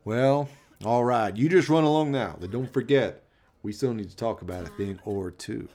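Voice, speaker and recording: A man's voice: medium pitch, moderate pace, darkish tone, close to an everyday voice. A man voicing a swaggering, foul-mouthed gang leader with a leering, bossy, folksy drawl in a radio play. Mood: grudging.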